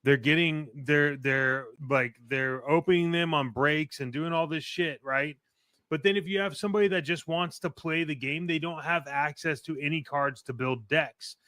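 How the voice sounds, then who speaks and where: A man's voice: fairly high pitch, normal speed, pushed and bright, no room sound. A man co-hosting a casual podcast, speaking bluntly and argumentatively with plenty of swearing.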